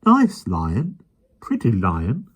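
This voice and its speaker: A man voicing a gentle, soft-hearted policeman who speaks tenderly and with open emotion. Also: medium pitch, very animated, moderate pace, pitched above the performer's own voice. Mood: crooning.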